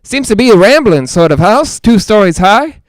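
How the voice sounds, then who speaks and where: A man's voice: high-pitched, sing-song, normal speed, dry recording. A man playing a chatty, skeptical radio ghost hunter in a 1940s-style audio drama, with folksy joking patter. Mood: chatty showman.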